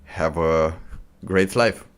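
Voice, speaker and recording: Slow, very low-voiced man. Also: clean tone. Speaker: streamer reacting to a video. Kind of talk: casual off-the-cuff commentary.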